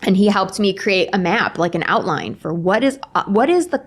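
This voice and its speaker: A woman's voice: mid-range voice, moderate pace. Chatty woman author telling her own story. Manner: chatty, animated retelling with quoted dialogue and self-deprecating humor. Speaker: author, interview guest. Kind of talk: casual conversational storytelling.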